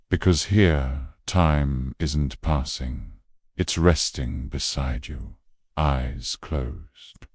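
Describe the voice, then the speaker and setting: A man narrating a bedtime sleep meditation in a slow, hushed, gentle voice that trails off softly. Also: extremely low pitch, no room sound, clean studio sound. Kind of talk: soothing scripted bedtime narration.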